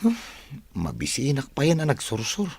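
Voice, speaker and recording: Man acting a creaky-voiced, thrifty young student. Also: mid-range voice, wildly swooping pitch, normal speed, darkish tone, full character voice. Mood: good-humored, grumbling. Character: young male student, thrifty, good-humored and grumbling. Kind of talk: Ilocano radio drama acting.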